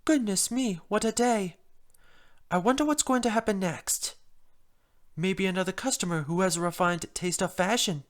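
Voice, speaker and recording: A woman's voice: mid-range voice, moderate pace, natural voice. A woman voicing a refined, fretful female pony fashion designer with a theatrical, genteel manner in a first-person story. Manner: theatrical, genteel, uses "darling". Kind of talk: first-person fan story narration in character.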